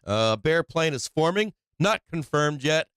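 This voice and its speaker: A man's voice: a little high, lively intonation, measured pace, a bit bright, smooth, no echo, clean studio sound. A man hosting a live stock trading stream, chatting casually and bluntly in slangy, profanity-laced talk. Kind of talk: casual live stream chat and market commentary.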